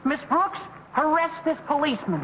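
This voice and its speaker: A young actor playing an eager, self-important teenage boy who acts as chief of police in a radio sitcom, earnest and excitable. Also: very high-pitched, normal speed. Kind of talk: 1950s radio sitcom acting. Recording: tinny, hissy old radio broadcast.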